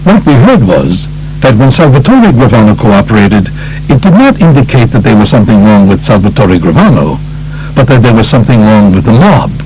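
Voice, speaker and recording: A man's voice: lowish voice, very animated, fairly quick, darkish tone, a little hiss or hum, low-quality recording. A man voicing an earnest prosecutor in an audiobook, speaking formally and emphatically. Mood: earnest, emphatic. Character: earnest male prosecutor addressing a judge. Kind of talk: audiobook character reading.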